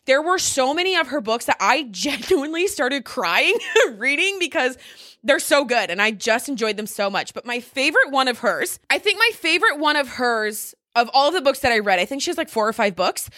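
Female vlogger, high bright voice, gushing and conversational, very dry close sound. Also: sing-song, a little fast, dry recording. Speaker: vlogger recommending favorite books. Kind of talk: casual chatty storytime monologue to camera.

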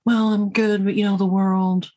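A woman's voice: medium pitch, level tone, moderate pace, dark tone. A woman chatting casually as a team member in a group discussion, informal and self-deprecating. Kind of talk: casual chat.

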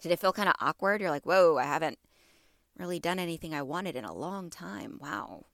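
A woman in her thirties speaks directly to her listeners in a casual, encouraging way, often asking them questions. Her voice sits a bit low and sounds slightly congested from allergies. The sound is dry and close, with no room around it. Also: lively intonation, fairly quick, fairly bright tone, no room sound. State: stuffy from allergies. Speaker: podcast host. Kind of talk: casual wellness talk to listeners.

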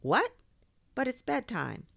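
A woman acts out an indignant young boy from a storybook. His questions come out pitched up and animated, skeptical and exasperated in manner. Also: slow, vocal fry, no room sound, heavily acted.

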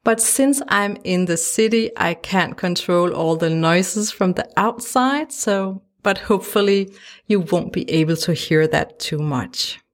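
Woman, Danish accent, unhurried delivery, slightly low voice, gentle and encouraging solo podcast host. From Denmark. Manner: gentle, encouraging, laughs lightly now and then. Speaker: podcast host and life coach. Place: small home studio lined with sound panels.

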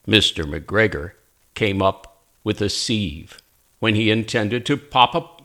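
A man reading a children's story aloud as an expressive narrator, with deliberate, dramatic phrasing. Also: fairly low pitch.

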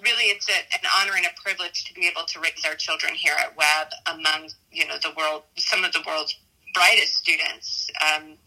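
A middle-aged American woman giving reflective interview answers as an educator, haltingly, often repeating and correcting herself. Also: low-pitched, fairly even intonation, sharp tone, poor audio. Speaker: math teacher and school dean.

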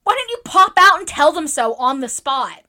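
A woman's voice: on the high side, lively intonation, moderate pace, fairly bright tone. A woman playing a brash, spirited, loyal teenage tomboy who speaks bluntly in an audiobook drama. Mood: indignant, scornful. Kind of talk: dramatized audiobook character acting.

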